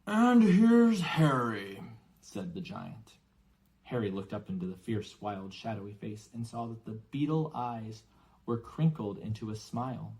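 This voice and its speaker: A man's voice: lowish voice, steady tone, moderate pace, vocal fry, mellow, low-quality recording. A man reading a children's novel aloud as scripted audiobook narration.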